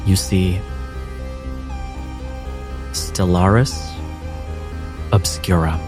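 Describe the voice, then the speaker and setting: A man acting as game master, guiding a tabletop game in a measured, explanatory way. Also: low-pitched, over music.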